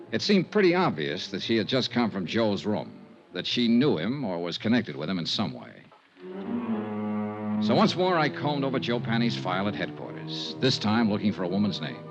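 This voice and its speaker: An American actor playing a tough, shrewd insurance investigator in clipped 1950s radio detective style. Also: male voice, on the low side. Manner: clipped, hard-boiled delivery. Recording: vintage 1950s radio recording, dull, music behind.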